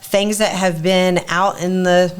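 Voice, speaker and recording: Raspy-voiced woman co-hosting a podcast. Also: lowish voice, little pitch movement, takes it slowly. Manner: chatty, laughs easily, promo-style plugs. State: raspy, recovering voice. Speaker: podcast co-host. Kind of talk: casual comedy podcast chat.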